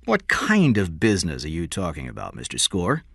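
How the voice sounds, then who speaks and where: Casting: curt, guarded rich businessman for an audiobook, read by a man, low and flat, terse delivery. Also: moderate pace, vocal fry, husky, dry recording, studio quality, exaggerated voice.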